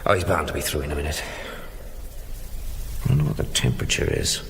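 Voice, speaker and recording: An actor playing a grumbling middle-aged British factory boss in a radio drama, muttering in a clipped, matter-of-fact way. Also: male voice, rumbling low, very animated, fairly quick, vocal fry, a bit bright, slight background noise, exaggerated voice. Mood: irritated, uneasy.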